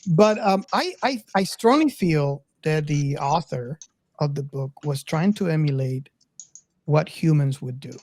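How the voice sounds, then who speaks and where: A man's voice: fairly high pitch, animated, a little slow, a little mellow. A man in a casual film discussion, earnest and insistent, repeating his phrases to make a point. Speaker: podcast co-host.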